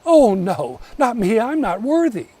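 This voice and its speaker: A man's voice: very high-pitched, big pitch swings, measured pace, mellow, slightly rough, far from the mic, pitched above the performer's own voice, heavily acted. A man voicing a humble, kind young outcast woman who speaks with earnest awe in a told folk tale. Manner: awed, earnest.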